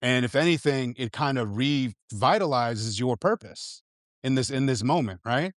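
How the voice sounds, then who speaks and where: A man appearing as a journalist guest, speaking in an animated, emphatic way in casual conversation. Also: mid-range voice, moderate pace, no echo, studio quality.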